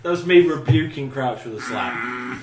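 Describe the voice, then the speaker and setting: A man's voice: medium pitch, normal speed. A British man bantering casually as a podcast co-host. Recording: echoey room, clear background noise.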